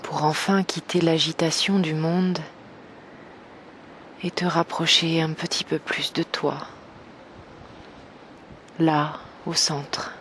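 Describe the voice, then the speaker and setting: A woman guiding an evening meditation slowly and gently, in a soft, breathy voice that nears a whisper, with long pauses. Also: sits low, level tone, a little hiss or hum. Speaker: meditation guide. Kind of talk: guided evening meditation, yoga nidra.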